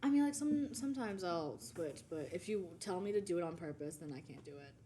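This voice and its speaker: A woman's voice: lowish voice, expressive, brisk, warm tone, a little hiss or hum. A woman co-hosting a podcast in casual chat, blunt and profane, often laughing. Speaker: podcast co-host.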